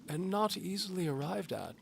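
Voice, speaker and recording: A man's voice: sits high, lively intonation, normal speed, vocal fry, slight background noise, pitched above the performer's own voice. A man voicing a pompous, snappish old duke and alchemist in an audio drama. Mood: lecturing. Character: stern, haughty old duke and alchemist, male.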